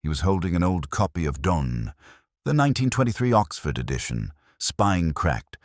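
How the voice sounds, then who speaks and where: A man reading scripted literary detective fiction as narrator, in a measured, formal and brooding manner. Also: sits low, very animated, no echo, studio quality.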